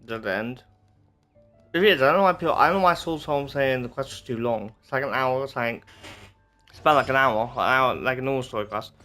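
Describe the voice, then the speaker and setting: A man's voice: medium pitch, fairly quick, pushed and bright. Casual male gamer with music behind. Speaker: gaming video creator. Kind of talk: casual playthrough commentary, reacting to dialogue.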